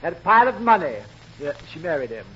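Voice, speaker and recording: An actor playing an odd, laconic small-town old-timer with halting, deadpan delivery in a 1940s radio comedy. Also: male voice, a little high. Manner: deadpan, halting, repetitive yeps. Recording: muffled, noisy old radio recording.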